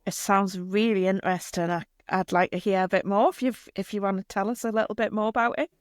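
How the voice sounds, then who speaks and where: A woman hosting a podcast interview, introducing her guest and prompting her in a measured, friendly way. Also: medium pitch, steady tone, no room sound, clean studio sound. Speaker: podcast host.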